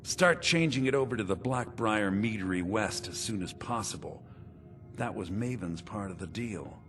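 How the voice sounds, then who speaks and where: An actor playing a sly, self-satisfied meadery conspirator and fence in a fantasy video game, smug and businesslike. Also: male voice, fairly low pitch, animated, normal speed, fairly bright tone. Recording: clear background music.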